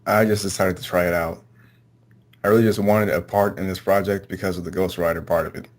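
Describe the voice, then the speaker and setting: A man answering interview questions casually, in a low-key, slightly hesitant way. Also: flat delivery, brisk. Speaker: amateur voice actor, interview guest.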